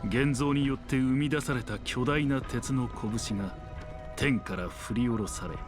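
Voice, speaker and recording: A man's voice: mid-range voice, brisk, natural voice. An actor playing a solemn, dramatic male storyteller in a fantasy game, delivering scripted narration. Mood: grave, measured. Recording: game audio with music.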